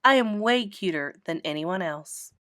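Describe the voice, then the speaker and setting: A woman's voice: lowish voice, expressive, a little slow, fairly bright tone, no echo, studio quality. A woman voicing a prissy, boastful little rich girl, haughty and gushing, in a children's storybook.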